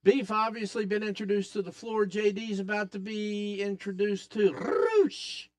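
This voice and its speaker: A man with a raspy voice hosting a live wrestling watch-along podcast, excitedly calling the action and chatting with viewers. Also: high voice, measured pace. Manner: excitable, hypes up the action, talks to chat. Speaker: podcast host.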